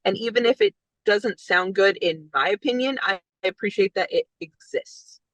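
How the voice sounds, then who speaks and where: A woman from Texas, a band member, chatting casually in an interview with an animated, laughing manner. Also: mid-range voice, moderate pace, no echo. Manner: animated, laughs between phrases, chatty fillers. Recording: slightly dull lo-fi sound.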